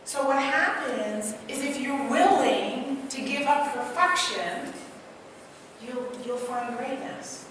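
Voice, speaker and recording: Trinidadian woman, high voice, motivational stage talk, energetic, echoey hall heard from a distance. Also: takes it slowly, a little hiss or hum. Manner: energetic, asks the crowd questions, tells personal stories. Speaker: motivational speaker. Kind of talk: live stage talk to an audience. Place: large hall with an audience.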